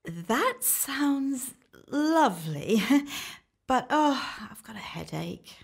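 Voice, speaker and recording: A woman's voice: a little high, big pitch swings, extremely slow, vocal fry, husky, full character voice. A woman playing a sweetly deceitful, secretly impatient wife in a radio play, with a coaxing manner.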